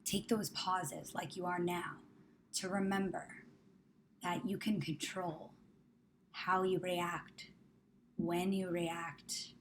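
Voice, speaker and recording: A woman guides a meditation in a fairly low voice at a very slow pace. Her delivery is soothing and measured, with phrase endings softening toward a whisper. Ambient noise sits faintly but noticeably behind her. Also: little pitch movement, rough recording. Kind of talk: guided meditation, spoken to the listener. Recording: clear background ambient noise.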